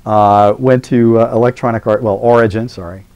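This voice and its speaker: A man's voice: fairly low pitch, fairly even intonation, normal speed, a little mellow, muffled recording. A middle-aged man introducing a guest in a university lecture, chatty and rambling, full of filler words and self-mocking asides. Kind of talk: live classroom lecture introduction.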